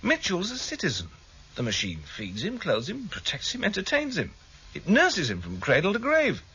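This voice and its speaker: An actor playing a sceptical, world-weary senior police detective in a British radio drama, musing with wry authority. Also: male voice, high-pitched, wildly swooping pitch, normal speed, bright, forceful tone, breathy edge, heavily acted. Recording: dull, lo-fi 1978 radio broadcast.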